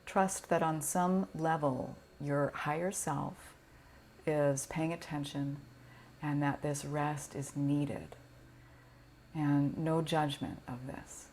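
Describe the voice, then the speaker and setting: A woman teaching yoga nidra, guiding a relaxation meditation in a gentle, soothing, unhurried way with pauses. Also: sits low, fairly even intonation, slight background noise.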